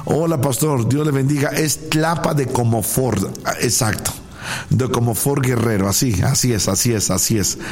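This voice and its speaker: Man, Mexican accent, medium pitch, pastor and broadcast host, casual live talk, devout, effusive, emphatic repetition. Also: moderate pace, a little mellow, faint music behind. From Puebla, Mexico. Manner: repeats words and phrases emphatically; effusive, devout, blesses listeners. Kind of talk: casual live talk, greeting listeners and reading messages.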